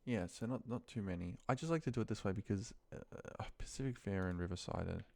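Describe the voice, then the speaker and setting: Low-pitched, creaky-voiced man thinking aloud. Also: fairly quick, a little mellow, dry recording. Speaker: office worker recording a spreadsheet walkthrough. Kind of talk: informal screen-recording walkthrough, thinking aloud.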